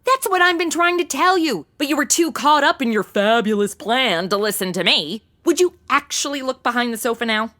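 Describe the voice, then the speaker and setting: Woman playing a bright-voiced, brash, snarky sidekick. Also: sits high, expressive, a little fast, hardly any pauses. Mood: exasperated. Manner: sarcastic, chatty. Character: brash, snarky, irreverent modern young woman. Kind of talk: comedic audio drama acting.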